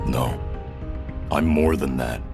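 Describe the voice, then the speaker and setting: An actor playing a defiant, disoriented prisoner in an audio drama, a very deep-voiced man who is guarded, halting and stubborn. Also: steady tone, vocal fry, over music, heavily acted. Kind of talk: scripted audio drama dialogue.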